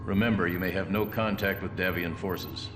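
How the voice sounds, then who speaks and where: A hard-edged colonel acted by a man briefs troops in a military game. His voice sits low, with clipped, commanding delivery and a suspicious temper, over some background music. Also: steady tone, moderate pace, echoey room, close to an everyday voice. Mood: stern, commanding. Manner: curt, authoritative, clipped orders. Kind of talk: game mission briefing acting.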